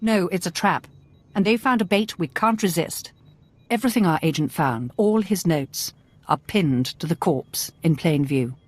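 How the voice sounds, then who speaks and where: A woman's voice: on the low side, lively intonation, moderate pace, no echo. An actress playing a composed female imperial intelligence officer in a video game, giving briefings in a grave, clipped manner. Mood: stern. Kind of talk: video game character acting.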